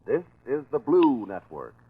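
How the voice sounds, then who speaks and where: A man's voice: mid-range voice, big pitch swings, unhurried, breathy edge, dry recording. An American man announcing on 1940s radio in a scripted commercial delivery. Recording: dull, dry 1940s radio broadcast.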